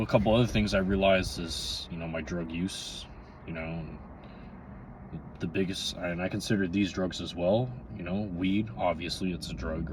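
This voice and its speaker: A man in his twenties giving a casual, candid, rambling monologue to viewers, often checking in with "you know.". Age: 20s, twenty-three. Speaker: vlogger. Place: inside a parked or running car. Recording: noisy, vehicle rumble inside car.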